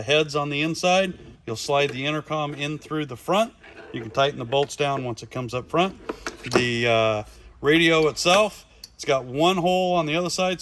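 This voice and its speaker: A man's voice: on the high side, a bit bright, a little hiss or hum. A man presenting a casual, hands-on installation demonstration in plain, informal language, recorded in a garage workshop. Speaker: product installation presenter for a radio company.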